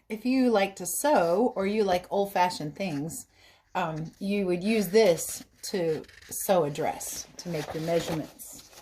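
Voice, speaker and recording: A woman reading a story aloud as a teacher, pausing to explain things simply to young listeners. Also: lowish voice, darkish tone, slight background noise. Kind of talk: read-aloud storytelling with explanatory asides for children.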